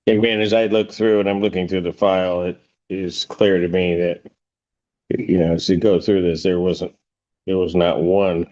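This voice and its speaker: Male judge conducting hearings, very low register, dull courtroom audio. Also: level tone, moderate pace, darkish tone. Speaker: judge presiding over court. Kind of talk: courtroom proceedings.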